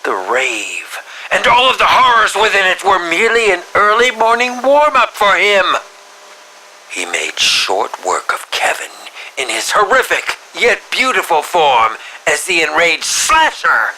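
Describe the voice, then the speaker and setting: An actor playing a pompous, calculating villain who savors horror, delivering an ornate, theatrical and deliberate monologue for a video game. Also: male voice, high-pitched, wildly swooping pitch, bright, forceful tone, slight background noise, full character voice, low-quality recording. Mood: admiring intrigue. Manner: ornate, deliberate, theatrical, breathy dips into near-whisper. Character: sinister, pompous male filmmaker villain, coolly calculating connoisseur of horror.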